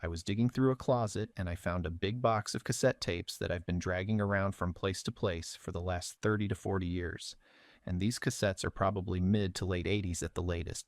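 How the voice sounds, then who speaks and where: A man's voice: deep voice, no room sound, clean studio sound. A middle-aged man hosting a casual show-and-tell vlog, narrating in an informal way. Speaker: video host.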